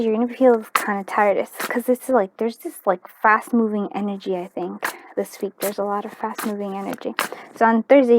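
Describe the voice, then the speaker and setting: A woman hosting a casual tarot card reading for viewers, chatty and informal, often pausing to think aloud. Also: on the high side, a little hiss or hum, rough recording. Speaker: tarot card reader and channel host.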